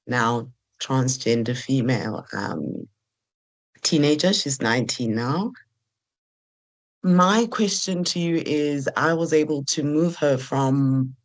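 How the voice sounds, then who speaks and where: A hoarse woman, asking a long, earnest question on a video call. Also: very deep voice, low-quality recording. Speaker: meeting attendee asking a question. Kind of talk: question in an online meeting.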